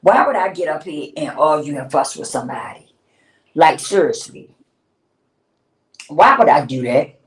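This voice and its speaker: A woman's voice: low-pitched, lively intonation, moderate pace, long pauses, far from the mic. A woman in her fifties talking bluntly and casually on a livestream, swearing freely and laughing often. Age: 50s. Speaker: livestream host. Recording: roomy sound, speaking away from the mic.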